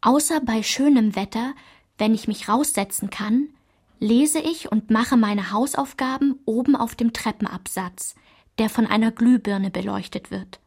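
A woman playing a daughter soberly recounting her childhood in a radio play, measured and restrained, with a breathy, husky voice. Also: fairly high pitch, a little mellow. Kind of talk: literary radio play narration.

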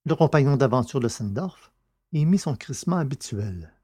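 A man's voice: medium pitch, expressive, normal speed, mellow, husky. Male narrator reading literary science fiction. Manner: literary, carefully phrased read speech. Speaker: podcast host and audiobook narrator.

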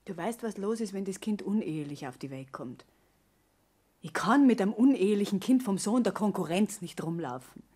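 A woman's voice: mid-range voice, lively intonation, normal speed, warm tone, slightly rough. An actress playing a pleading young woman in a naturalistic radio play, intimate and pressing. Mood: pleading, frustrated. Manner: pleading, intimate, often dropping to a whisper. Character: pregnant young woman pressing her lover to marry.

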